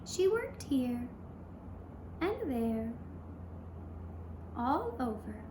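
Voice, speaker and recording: Very slow, high-voiced woman telling a fairy tale. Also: big pitch swings. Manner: gentle, measured, short pausing phrases. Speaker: puppet show storyteller. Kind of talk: slow scripted fairy-tale narration for young children. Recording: roomy echo, clear background noise.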